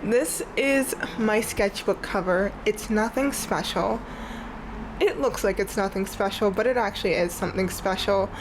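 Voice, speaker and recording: Young woman, congested and sniffly, rambling vlog chat, mid pitch, faint hiss behind. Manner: chatty, rambling, says "like" often. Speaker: art vlogger. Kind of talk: casual sketchbook tour chat. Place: on her bed at home.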